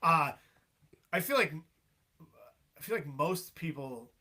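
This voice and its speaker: A man in his thirties, a guest on a podcast, chatting in a relaxed way with frequent laughs and fillers. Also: fairly high pitch, slow. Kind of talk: casual chat.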